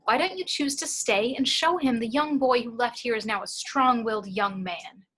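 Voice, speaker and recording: Lady doing a gentle persuasive woman, raspy edge, bit high, amateur drama read. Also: moderate pace. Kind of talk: amateur at-home table read of a film script.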